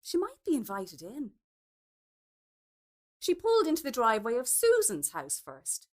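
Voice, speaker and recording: High, expressive woman reading a story. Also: unhurried. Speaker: story reader. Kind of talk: expressive literary short story narration.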